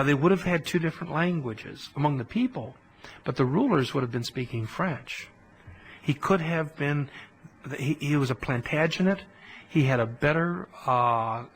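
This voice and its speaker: A man's voice: mid-range voice, rough recording. Man telling history in colloquial style. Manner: animated storytelling, colloquial asides. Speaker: history lecturer on a podcast. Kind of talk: conversational history lecture.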